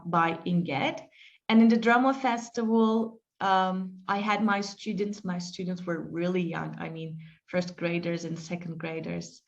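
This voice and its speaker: Woman teacher, unhurried and reflective, muffled call audio. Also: medium pitch. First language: Turkish. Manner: slow, reflective, with frequent fillers and restarts. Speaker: English teacher being interviewed. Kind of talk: interview answers, storytelling.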